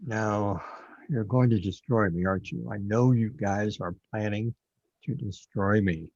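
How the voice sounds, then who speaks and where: A man playing a confused, paranoid, brooding man who lives inside a computer, with a husky voice, in an audio drama. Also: lowish voice, a little slow, darkish tone, no echo. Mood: paranoid, guilt-ridden. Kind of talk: audio drama monologue and dialogue. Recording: dull, lo-fi, dry close recording.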